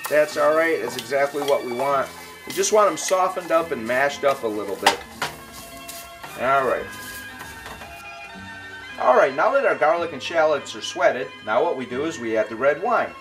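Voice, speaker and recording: A man's voice: mid-range voice, animated, normal speed, pauses often, over music, low-quality recording. A man hosting a home cooking show, explaining each step casually as he works in his kitchen. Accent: Detroit. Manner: chatty, explains steps as he works.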